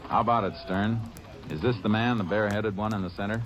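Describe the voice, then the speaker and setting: An actor playing a steady, sharp-witted Texas Ranger who also narrates, in 1940s radio-drama style, calm and clipped. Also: male voice, lowish voice, quick pace, noisy background, lightly acted. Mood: determined. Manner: calm, clipped, matter-of-fact. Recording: old radio broadcast with music.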